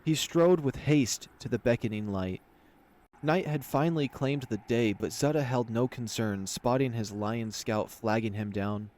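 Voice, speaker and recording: A man's voice: medium pitch, a little slow, darkish tone. A man reading scripted fantasy story narration as the narrator of a visual novel.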